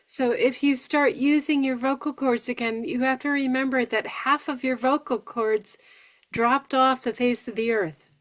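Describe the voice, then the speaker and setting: A woman from New York State who has aphasia, speaking slowly and deliberately with careful pronunciation as she shares her experience with a support group. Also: high voice, fairly even intonation.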